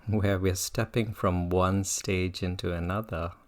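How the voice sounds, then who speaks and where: Meditation teacher, low pitch, very slow pace, gentle, unhurried guided-practice delivery. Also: fairly even intonation.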